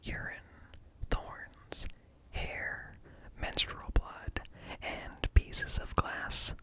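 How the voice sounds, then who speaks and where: A man reading aloud in a hushed ASMR whisper, close to the microphone, pausing between short phrases. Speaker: ASMR creator reading encyclopedia articles aloud. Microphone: close-up microphone with ear-to-ear stereo.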